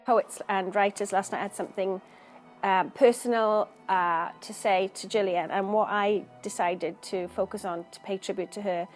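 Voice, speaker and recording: A woman's voice: medium pitch, steady tone, normal speed, faint music behind. A woman poet from Wales, talking about her work in an earnest, thoughtful interview, searching for words as she goes. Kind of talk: reflective interview talk.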